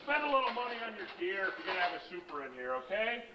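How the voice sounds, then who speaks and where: An actor playing a hungover, demanding superhero who is impatient and condescending in a film interview. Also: male voice, high-pitched, big pitch swings, fast talker, pushed and bright, full character voice. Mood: irritable, demanding. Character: grouchy, hungover, demanding male superhero diva. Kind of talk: irritable interview outtake. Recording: roomy, distant, muffled noisy tape.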